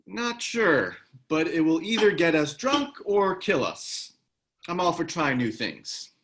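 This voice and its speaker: A man's voice: a little high, very animated, takes it slowly, a bit bright, a little hiss or hum, full character voice. A man reading the part of a wry, easygoing ex-soldier in a screenplay table read, with a casual, laconic delivery. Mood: wry, teasing. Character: wry, easygoing ex-military man, protective ally. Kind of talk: screenplay table read, naturalistic dramatic acting.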